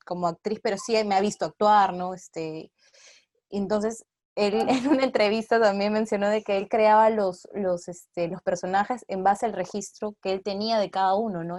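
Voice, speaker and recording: A woman speaks in a casual, reflective interview style. She is an actress answering at her own speed, with a medium-pitched voice. The sound is very dry, without room echo. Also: a little mellow.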